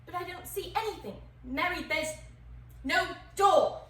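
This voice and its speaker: A woman playing a fretful, sickly young boy in a stage-style drama, hesitant, stammering and highly emotional. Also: measured pace, a bit bright. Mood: eager, doubtful. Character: fretful, sickly, overwrought young boy convinced he's doomed. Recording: echoey room, distant mic, faint noise.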